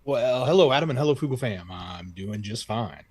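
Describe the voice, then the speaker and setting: A man's voice: medium pitch, lively intonation, moderate pace, darkish tone, slightly rough, no room sound. A man co-hosting a news show, reporting and analyzing in an informal, explanatory, conversational way.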